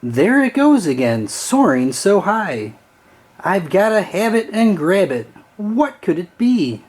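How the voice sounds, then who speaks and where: A man voicing a friendly, excitable dog in a children's storybook, polite and playful in manner. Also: high voice, slow, slightly rough, voice pushed up, heavily acted. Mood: excited.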